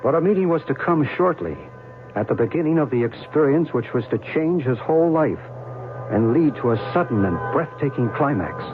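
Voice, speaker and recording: An actor playing a knowing, enigmatic American narrator and believer in an old-time radio drama, measured and assured. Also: male voice, mid-range voice, lively intonation, over music, natural voice. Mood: narrating. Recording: tinny, noisy old radio recording.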